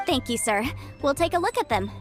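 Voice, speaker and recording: An actress playing a confident young legal advisor in a game, brisk, self-assured and a little playful. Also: female voice, high voice, big pitch swings. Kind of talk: game voice acting. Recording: studio voice over game music.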